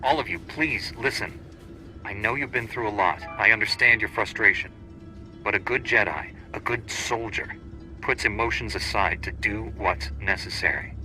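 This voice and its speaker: A man's voice: fairly low pitch, normal speed, sharp tone, rough recording. A man playing a confident, steadfast Jedi hero in a video game, firm and authoritative. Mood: rallying. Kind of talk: scripted video game acting. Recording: music behind the voice.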